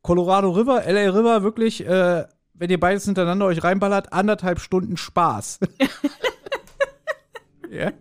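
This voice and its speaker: A man with a voice somewhat higher than average talks as a podcast co-host. His delivery is casual and conversational. He recounts things jokingly and tends to chuckle. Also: steady tone, normal speed. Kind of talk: casual chat, recounting and discussing.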